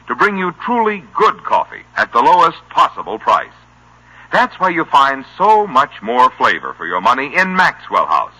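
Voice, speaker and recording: A man's voice: mid-range voice, husky, poor audio. An American man reading a scripted vintage radio commercial with polished, salesmanlike delivery. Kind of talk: scripted 1950s radio advertisement. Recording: old, bright radio broadcast recording.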